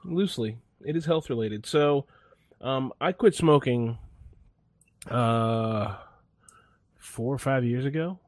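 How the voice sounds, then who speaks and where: A man's voice: medium pitch, takes it slowly, long pauses, dry recording, clean studio sound. A man chatting casually on a podcast, telling a rambling, laid-back story full of fillers and restarts. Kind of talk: casual chat.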